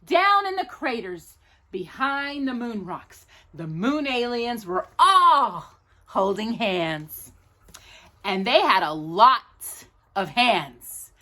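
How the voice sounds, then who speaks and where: A woman reading a children's storybook aloud as a storytime host, in an expressive, drawn-out, playful manner. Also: fairly high pitch. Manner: animated, drawn-out delivery with playful asides to a child.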